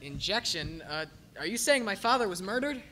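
Man playing bright-voiced, bewildered young heir. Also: high-pitched, sing-song, normal speed, heavily acted. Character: bewildered rich heir in a radio soap. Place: theater stage.

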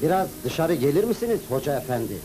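An actor playing a stern, grim middle-aged district governor in a cartoon, formal and commanding. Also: male voice, on the high side, big pitch swings, quick pace, music in the background.

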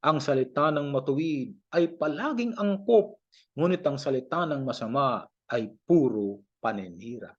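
A man with a Filipino accent reading scripture aloud in a measured, declamatory recitation, verse by verse. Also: mid-range voice, animated, slightly rough. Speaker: Bible reader. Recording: dull lo-fi home recording.

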